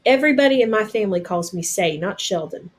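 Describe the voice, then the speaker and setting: A man's voice: high-pitched, lively intonation, quick pace. A woman plays a wounded teenage soldier boy in a storybook. He is weak and bewildered, speaking plainly and hesitantly. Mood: uneasy, friendly. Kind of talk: storybook read-aloud character.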